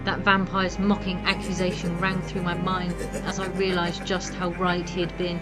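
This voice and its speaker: A woman's voice: fairly low pitch, monotone, moderate pace, natural voice. A woman playing a determined, bitter and haunted female alchemist in a fantasy audio drama. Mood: bitter, reflective. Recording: dramatic music under the voice.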